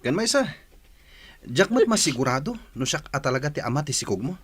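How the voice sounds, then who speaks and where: A man's voice: fairly high pitch, big pitch swings, fairly quick, fairly bright tone, breathy edge, full character voice. A male actor playing an evasive young student in a radio drama, defensive and protesting, with a Filipino accent. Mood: agitated, defensive. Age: young adult.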